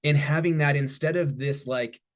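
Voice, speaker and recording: Enthusiastic man giving an informal pitch. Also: steady tone, normal speed. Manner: enthusiastic, conversational, emphatic. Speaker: head of business development, guest speaker. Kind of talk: informal promotional talk.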